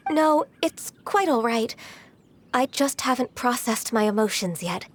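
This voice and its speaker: A woman playing a gentle, earnest half-human adeptus in a video game, speaking politely and formally with slight hesitance. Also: fairly high pitch, animated, measured pace, a little hiss or hum. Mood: wistful, solemn. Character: gentle, earnest, ancient half-qilin female adeptus secretary. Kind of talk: video game cutscene dialogue. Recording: music under the voice. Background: background music.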